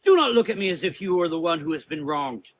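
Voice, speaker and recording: Man playing a proud, high-pitched defiant warrior woman. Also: lively intonation, quick pace, a little hiss or hum, natural voice. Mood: frustrated. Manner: earnest, defiant. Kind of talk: gothic horror audio drama.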